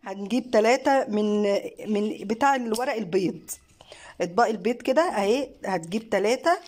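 An Egyptian woman filming a home craft vlog on her phone, talking casually and praising warmly as she films. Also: fairly high pitch, animated, brisk. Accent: Egyptian Arabic. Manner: warm praising, encouraging, mixes in many fillers. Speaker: craft channel host and mother. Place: living room at a home table. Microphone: phone camera held by the speaker.

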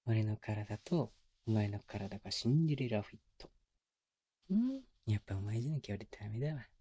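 A man voicing a doting young boyfriend in an intimate ASMR roleplay, murmuring softly and breathily with teasing, coaxing baby talk close to a binaural microphone. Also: on the low side, husky, no room sound, full character voice. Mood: tender, coaxing. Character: doting young boyfriend soothing his sleepy partner. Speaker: voice actor. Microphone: close binaural microphone, dry.

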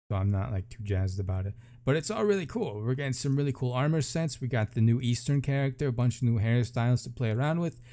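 A man's voice: mid-range voice, warm tone. A man giving casual, chatty commentary as a video game streamer, with an informal, jokey way of talking.

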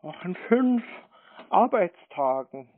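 A man's voice: sits high, big pitch swings, exaggerated voice. A man playing a naive, eager, rambling provincial German fellow on a prank phone call. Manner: naive, rambling, feigned slowness. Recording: narrow, tinny phone-like sound.

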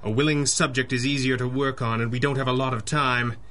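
Guy doing masked pulp hero man, formal n commanding, bright forceful voice, radio play. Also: medium pitch, steady tone, a little fast, natural voice. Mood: stern, calculating. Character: commanding, solemn masked male crime fighter. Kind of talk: 1930s-style radio drama acting.

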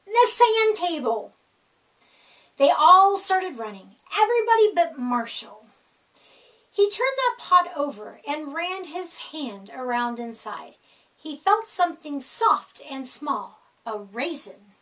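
Middle-aged female storyteller, slowish and very animated, expressive picture-book delivery. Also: high-pitched, far from the mic, rough recording. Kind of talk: expressive read-aloud of a children's picture book. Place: small indoor room with decorated wall.

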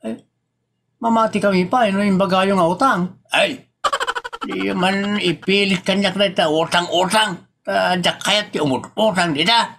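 Guy doing old ilocano village dad in a comedy, defensive and flustered, really high voice, bit noisy. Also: steady tone, a little slow, vocal fry. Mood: flustered, protesting. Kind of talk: comedy drama dialogue.

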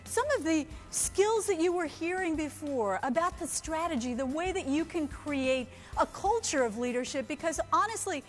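A woman in her forties giving an energetic, emphatic keynote talk on a conference stage into a headset mic. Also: high voice, normal speed, hardly any pauses, soft background music. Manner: energetic, emphatic, gestures a lot. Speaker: keynote speaker.

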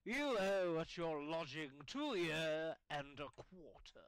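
An amateur actor playing a self-important, gullible constable with a deliberate, pompous manner in an early modern comedy audio drama. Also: medium pitch, big pitch swings, smooth, dry recording. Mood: officious. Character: slow, self-important constable, easily taken in.

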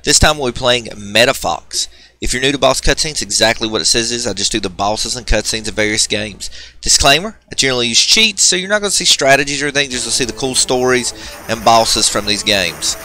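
A man's voice: mid-range voice, lively intonation, fairly quick, bright, forceful tone. A man hosting a video game channel, giving informal, chatty gameplay commentary with some slang. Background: arcade game music.

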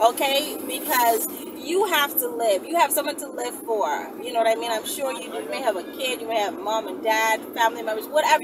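A woman in her thirties giving casual advice to camera in a blunt, emphatic, sweary way. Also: a little high. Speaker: vlog host. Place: inside a car on the road. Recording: distant mic, clear car road noise. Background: vehicle noise.

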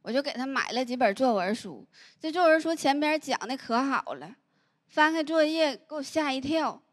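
High-voiced deadpan comedian woman. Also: moderate pace. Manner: deadpan storytelling with punchlines. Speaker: stand-up comedian. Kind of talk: stand-up comedy set. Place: large indoor comedy stage. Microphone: handheld mic. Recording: live audience laughter noise. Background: audience laughter.

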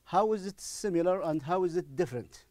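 Mellow Arabic-accented male English teacher. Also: high-pitched, expressive, dry recording, studio quality. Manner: deliberate, repeats phrases for emphasis. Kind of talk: recorded classroom-style lesson. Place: indoor studio in front of a presentation screen. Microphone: lapel microphone.

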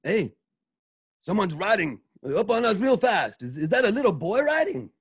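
High-pitched, alarmed Western bandit. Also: male voice, very animated, moderate pace, vocal fry, a bit bright, husky, full character voice. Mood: wary surprise. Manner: high, yelping, frantic. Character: alarmed, excitable Western bandit under attack. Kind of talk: Western radio drama acting.

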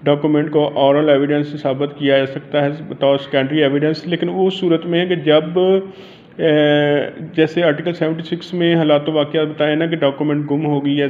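High-pitched Pakistani man giving a law lesson. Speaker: law lecturer. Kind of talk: informal explanatory lecture, switching into English legal terms. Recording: dull lo-fi audio with faint noise.

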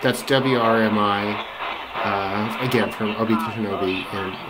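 A man's voice: fairly low pitch, fairly even intonation, measured pace. A man giving casual, unscripted commentary as a radio hobbyist, thinking aloud with some hesitation. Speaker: shortwave radio hobbyist narrating a band scan. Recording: heavy shortwave radio static and noise. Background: radio static and broadcast chatter.

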